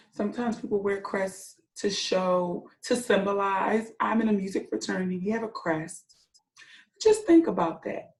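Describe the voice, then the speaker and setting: A woman's voice: medium pitch, darkish tone. A woman hosting a children's storytime, reading and explaining words simply in an encouraging way to young listeners. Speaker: children's read-aloud host and teacher. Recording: echoey room.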